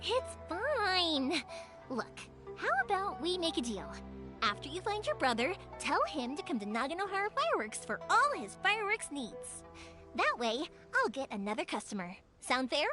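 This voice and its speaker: A cheerful young fireworks maker from an anime game. Her voice is high and lilting, with lively swings as she talks in a breezy, sincere, big-sisterly way. Soft music sits behind her. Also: normal speed, full character voice. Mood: playful.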